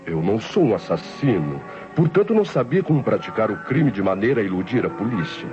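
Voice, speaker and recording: An actor playing an idle, self-justifying nephew who narrates his confession formally and deliberately, with a Brazilian accent, in an old radio drama. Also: on the low side, sing-song. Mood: cold, self-justifying. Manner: formal, deliberate, theatrical diction. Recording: dull old radio recording with music.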